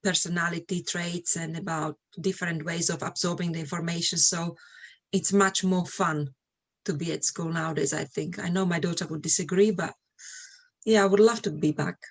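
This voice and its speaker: Middle aged slovak lady, lowish voice, just chatting in an interview. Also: steady tone, normal speed. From Slovakia, Eastern Europe. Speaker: interview guest. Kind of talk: casual conversational interview, personal recollections.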